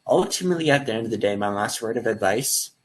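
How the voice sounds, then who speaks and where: A husky, low-voiced young man speaks earnestly as a guest over a video call. He rambles and restarts his sentences while urging people to reach out for support. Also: expressive, quick pace. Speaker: guest, life coach. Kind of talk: earnest promotional talk.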